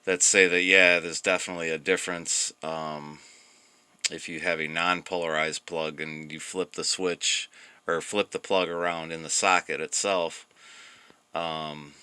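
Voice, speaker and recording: A man giving casual, unscripted commentary on a hobby repair project, talking in a halting way as he thinks aloud. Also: deep voice, flat delivery, sharp tone, clean tone, no room sound. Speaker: hobbyist radio restorer presenting his project.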